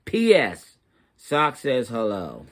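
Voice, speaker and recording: A man's voice: mid-range voice, huge pitch swings, takes it slowly. A man voicing a bewildered, plaintive little crayon in a children's storybook. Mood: puzzled, plaintive. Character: bewildered turquoise crayon stuck to a smelly sock.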